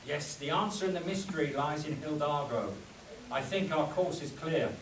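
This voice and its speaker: A man's voice: on the high side, normal speed, close to an everyday voice. Man playing composed adventure hero, echoey hall. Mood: calm, commanding. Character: commanding, composed adventure hero leading his crew. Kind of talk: live spoof radio play read on stage. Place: echoey convention room with audience. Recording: echoey hall, distant mic, audience noise.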